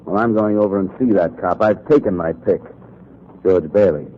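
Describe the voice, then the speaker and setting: An actor playing a hard-boiled, brooding newspaper columnist in 1940s radio noir, terse and sardonic, with an American accent. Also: male voice, deep voice, normal speed, lightly acted. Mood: determined. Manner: terse, world-weary, sardonic. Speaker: narrator and lead actor. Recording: muffled, noisy 1940s radio recording.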